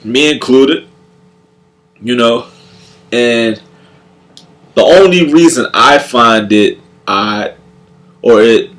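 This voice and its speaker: A young man speaks slowly through a stuffed-up nose, and his voice comes out nasal. He talks in a loose, reflective way, often repeats words and starts his sentences over. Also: mid-range voice. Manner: halting, many repeated words and restarts. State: congested, nasal. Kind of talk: casual spoken reflection on faith.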